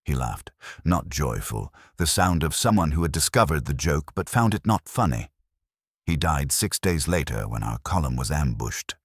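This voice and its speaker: A man's voice: rumbling low, big pitch swings, normal speed, breathy edge, dry recording, clean studio sound, heavily acted. A man voicing a hollow, faithless warrior nun, telling her grim life as scripted first-person story narration in detached, solemn, clipped sentences. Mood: bleak, detached.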